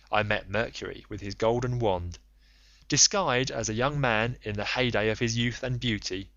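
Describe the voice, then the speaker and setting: A man's voice: fairly low pitch, bright, forceful tone, no echo. Man narrating an epic, dry muffled sound. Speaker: audiobook reader. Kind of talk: scripted literary narration of an ancient epic.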